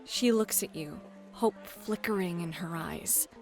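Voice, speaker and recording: A woman's voice: lowish voice, unhurried, soft background music. A woman playing a friendly, flirty club hostess and game master in an ASMR roleplay, chatty and playful. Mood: narrating, engaged. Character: friendly, flirty club hostess who runs tabletop games. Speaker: host and dungeon master.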